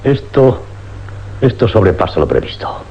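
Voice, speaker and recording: An actor playing a baffled, troubled police inspector in a dramatic radio play. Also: male voice, lowish voice, sing-song, a little slow. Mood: baffled, weary. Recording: dull old-style radio recording.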